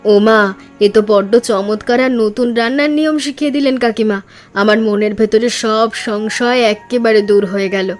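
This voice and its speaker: A woman voicing a practical, caring village mother in a cartoon story, speaking in an earnest, measured way. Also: a little high, fairly even intonation, soft background music, lightly acted. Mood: curious, respectful.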